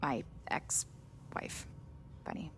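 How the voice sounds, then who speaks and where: An actress playing a brusque, sardonic, driven virus researcher in a video game cutscene. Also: female voice, extremely slow, a bit bright, whispered. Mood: businesslike. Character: brusque, impatient female virus researcher, sharp-tongued and driven. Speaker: video game character, doctor. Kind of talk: dramatic game cutscene acting.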